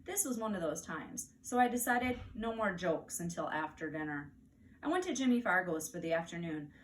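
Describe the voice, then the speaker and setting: A woman with an American accent reads a children's story aloud as a teacher, animated and deliberate for young listeners. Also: lowish voice. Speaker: teacher reading aloud to first graders. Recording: distant mic, faint background noise.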